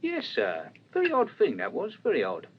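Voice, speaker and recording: Very animated waiter, husky voice. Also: male voice, high voice, normal speed, full character voice. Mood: deferential. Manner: colloquial, animated storytelling. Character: chatty, deferential working-class male waiter, baffled storyteller. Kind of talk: radio crime drama acting. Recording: dull, rough radio drama recording.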